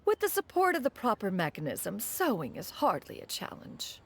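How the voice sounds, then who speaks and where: A woman's voice: mid-range voice, wildly swooping pitch, normal speed, a little hiss or hum. A woman voicing a proud, formal immortal master in a video game, with stately, archaic, haughty speech. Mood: composed, proud.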